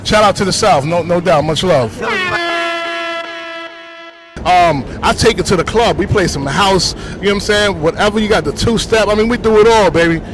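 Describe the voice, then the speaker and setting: A man with a Bronx New York accent, a DJ talking casually in slang on a city street, full of shout-outs and "you know what I'm saying". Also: high voice, normal speed, over music, low-quality recording. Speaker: DJ interviewed on the street.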